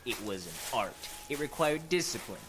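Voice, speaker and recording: A man's voice: medium pitch, sing-song, normal speed, lightly acted. An actor playing a cynical veteran public radio reporter in an audio drama, complaining as he walks through the woods. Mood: grumbling. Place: outdoors in the woods.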